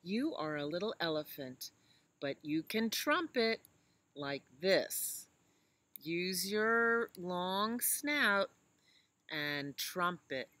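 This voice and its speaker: A woman voicing a gentle mother elephant, patiently teaching her little one in a children's storybook. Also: on the low side, big pitch swings, drawn-out words, no room sound, exaggerated voice. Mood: gently encouraging. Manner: patient, instructive.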